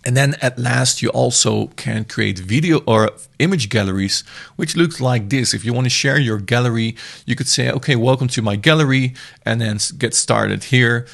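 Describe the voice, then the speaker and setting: Man with a gravelly voice, casual and meandering as he walks through software on screen, often restarting mid-sentence. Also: mid-range voice, normal speed. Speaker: software reviewer. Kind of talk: screen-recorded software demo.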